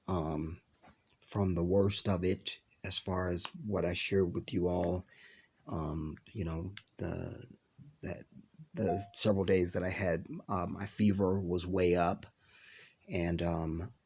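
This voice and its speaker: A man's voice: low-pitched, vocal fry, husky. A congested man with a stuffy voice, casually talking to viewers in a reaction vlog, with lots of ums and trailing phrases. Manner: rambling with many ums, drawn-out words. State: congested from a cold. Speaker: video reaction host.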